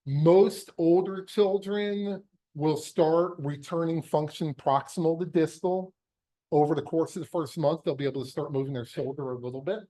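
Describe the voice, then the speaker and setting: A man's voice: mid-range voice, darkish tone. A middle-aged American man giving a conversational medical lecture, explaining emphatically and posing rhetorical questions. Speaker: physician lecturer, pediatric epilepsy surgeon.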